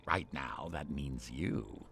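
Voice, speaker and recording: An actor playing a pompous, condescending shipboard artificial intelligence in a game, theatrical and sarcastic. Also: male voice, rumbling low, animated, takes it slowly, gravelly, a bit bright, slightly rough, full character voice. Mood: disdainful. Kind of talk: scripted game voice pack acting.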